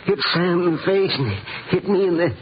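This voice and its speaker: A man playing a wounded, bewildered express clerk in a 1950s radio western, American, speaking haltingly as he labours for breath. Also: sits high, natural voice. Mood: pained, bewildered. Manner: halting, repetitive. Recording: muffled, faintly noisy 1950s radio recording.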